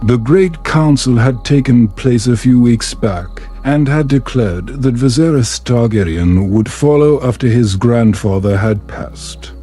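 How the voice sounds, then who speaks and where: A man reading a scripted bedtime story as a narrator, in a calm, measured, soothing way. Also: mid-range voice, soft background music.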